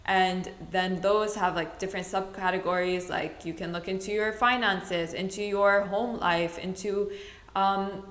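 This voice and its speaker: A woman's voice: mid-range voice, fairly even intonation, unhurried, a bit bright. A woman from the Middle East hosting a casual solo podcast, chatty and rambling, often correcting herself mid-sentence. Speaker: podcast host. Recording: roomy echo.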